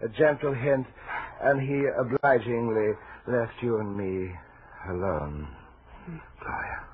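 Actor as a creepy, halting gentleman, tinny old radio. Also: medium pitch, close to an everyday voice. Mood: quietly menacing. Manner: halting, stammering, overly formal, coaxing. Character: creepy, lonely gentleman suitor, polite but insistent. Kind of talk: 1940s radio suspense acting. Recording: noisy, thin, phone-like 1940s radio recording.

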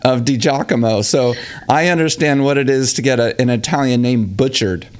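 Guy hosting a chill interview podcast, excited and chatty, average voice. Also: mid-range voice, moderate pace, smooth. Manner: upbeat, chatty, enthusiastic. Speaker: podcast host. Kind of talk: casual interview chat.

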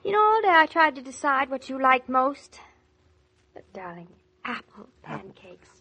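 Young newlywed wife, American, 1940s radio style. Higher-pitched, bright, gushing, anxious to please. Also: female voice, very animated, measured pace, heavily acted. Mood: coaxing, eager. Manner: gushing, fussing, coaxing. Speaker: actress in radio serial. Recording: vintage 1940s radio recording.

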